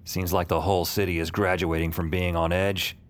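An actor playing a haunted, wry male narrator in audio fiction, with a deadpan, weary, confessional delivery. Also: low-pitched, brisk, natural voice. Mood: brooding. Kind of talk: first-person noir-like audio fiction narration. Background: music under the voice.

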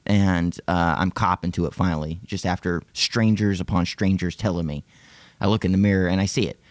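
A man's voice: low-pitched, steady tone, a little fast, no room sound. Rambling, profane man in his thirties. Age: 30s. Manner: rambling, profane, self-deprecating. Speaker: podcast host. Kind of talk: casual chat.

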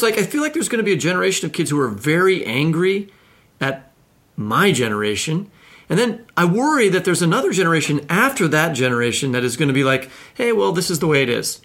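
A man's voice: on the high side, creaky, a bit bright. A middle-aged man co-hosting a podcast in a casual, informal way, telling anecdotes and acting out bits of dialogue. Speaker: podcast co-host, writer. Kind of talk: casual, conversational interviewing.

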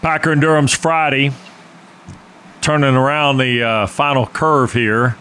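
A man's voice: mid-range voice, steady tone, pushed and bright, clear, resonant tone. A man hosting a sports radio show, talking casually and joking with a guest and his co-host. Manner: joking, conversational, quick asides.

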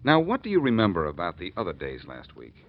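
An actor playing a confident, wry amateur detective in a 1940s radio drama, brisk and probing. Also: male voice, deep voice, wildly swooping pitch, heavily acted. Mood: probing, insistent. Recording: dull, noisy old 1940s radio recording.

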